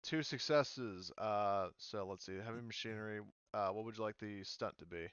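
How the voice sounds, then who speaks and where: Male GM, tabletop rpg, hesitant rambling explainer, smooth clean voice, dry muffled mic. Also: on the low side, moderate pace, fairly bright tone, no room sound. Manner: rambling, many fillers and restarts. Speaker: game master. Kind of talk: running a tabletop roleplaying game.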